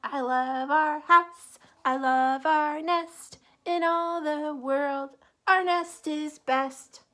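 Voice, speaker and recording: A woman's voice: sits high, steady tone, very slow, bright, forceful tone, heavily acted. A woman voicing a devoted, emotional male bird from a children's storybook, in an earnest, emphatic way. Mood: joyful singing.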